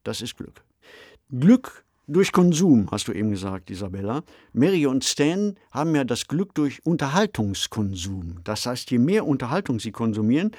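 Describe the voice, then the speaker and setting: A middle-aged or older man in a reflective podcast discussion, phrasing deliberately. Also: mid-range voice, a little mellow, no room sound, studio quality. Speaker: podcast discussion participant, novelist.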